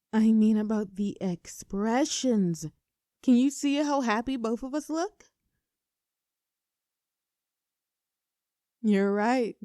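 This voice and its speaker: Mellow, earnest young woman, acted, close and dry. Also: mid-range voice, dry recording, lightly acted. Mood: earnest, upbeat. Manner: mock-formal presenter, earnest and teasing. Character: nervous, earnest young female best friend confessing a crush. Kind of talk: scripted roleplay audio addressed to the listener.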